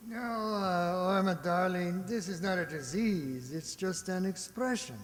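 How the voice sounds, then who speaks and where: A man's voice: high-pitched, measured pace. A man playing a wry old music maestro in an old-time radio comedy, hesitant and wisecracking. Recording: hall reverb on stage-like recording.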